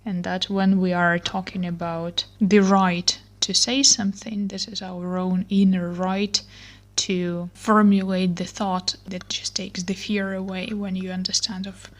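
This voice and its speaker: A woman's voice: medium pitch, level tone, measured pace. A woman co-hosting a podcast, giving encouraging advice. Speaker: podcast co-host. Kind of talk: podcast discussion.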